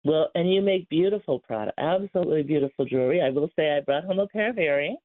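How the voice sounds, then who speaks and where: A woman's voice: low-pitched, lively intonation, fast talker, narrow, tinny audio. A woman hosting a chatty interview, her voice slightly scratchy from talking a lot, effusive and welcoming. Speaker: radio show host. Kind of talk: casual interview.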